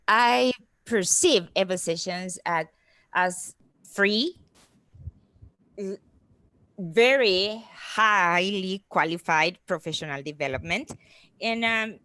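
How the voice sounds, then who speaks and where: Very slow, deliberate woman, dry sound. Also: medium pitch, fairly bright tone, no echo. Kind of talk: earnest discussion in an online meeting. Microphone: online video call.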